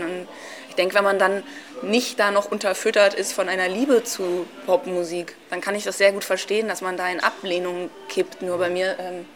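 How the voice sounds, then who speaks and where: A woman speaking off the cuff in an interview, halting, with frequent um and uh. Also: medium pitch, background noise. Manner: halting, lots of um and uh fillers. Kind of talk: spontaneous spoken commentary.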